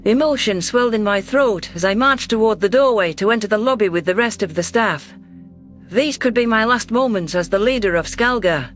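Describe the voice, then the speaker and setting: A man's voice: high-pitched, expressive, fairly quick, fairly bright tone. A man reading a science fiction story aloud as a dramatic first-person narration. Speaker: audiobook narrator. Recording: music bed under voice.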